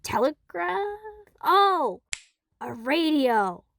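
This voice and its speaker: A woman voicing an impulsive, curious young woman who gets excited and bursts out easily. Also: sits high, vocal fry, dry recording, clean studio sound, exaggerated voice. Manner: excitable, quick to outbursts.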